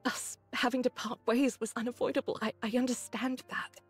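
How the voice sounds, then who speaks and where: An actress playing a calm, caring woman officer in an anime game, speaking in a measured, gently firm way. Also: a little high, slightly rough, soft background music. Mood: gently consoling. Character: calm, caring, mature female squad second-in-command.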